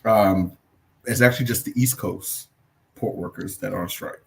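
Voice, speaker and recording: A man's voice: lowish voice, moderate pace, vocal fry. A man co-hosting a podcast, talking through the news casually with jokes and fillers. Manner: laid-back, joking, with fillers. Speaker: podcast co-host presenting news. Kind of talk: casual chat.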